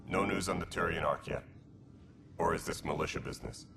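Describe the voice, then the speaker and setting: Alien commander, gruff, very deep voice, measured military delivery, game cutscene, loud background noise. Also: male voice. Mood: stern, dismissive. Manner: measured, matter-of-fact, military. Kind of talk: video game cutscene acting.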